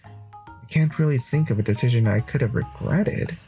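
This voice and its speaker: A man's voice: medium pitch, moderate pace, mellow, faint music behind, lightly acted. A man voicing an earnest, introspective wandering hero in a visual novel, musing over dreams. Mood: wistful. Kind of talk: visual novel narration and dialogue.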